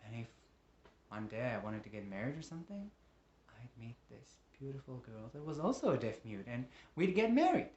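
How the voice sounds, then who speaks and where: A man performing a dramatic monologue as a lonely, embittered father, emotive and moving between hushed and agitated. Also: medium pitch, sing-song, moderate pace, dull audio, a little hiss or hum, natural voice. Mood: longing. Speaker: monologue actor. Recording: roomy, echoing room.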